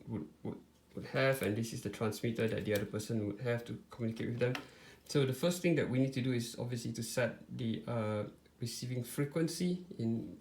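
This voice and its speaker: Man giving a halting, informal demonstration. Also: medium pitch, a little mellow. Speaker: tutorial presenter. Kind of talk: informal step-by-step product demonstration.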